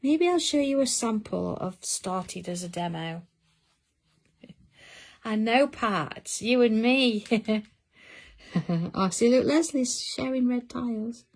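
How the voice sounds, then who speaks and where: A woman's voice: a little high, takes it slowly, gravelly, pauses often. A middle-aged woman, hosting a craft livestream in casual chat and answering viewer comments as she works. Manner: chatty, reads out viewer comments while working.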